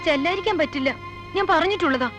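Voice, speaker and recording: A woman's voice: very high voice, fast, over music. A young actress playing a headstrong, girlish teenage daughter in a Malayalam film drama, talking insistently. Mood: defiant.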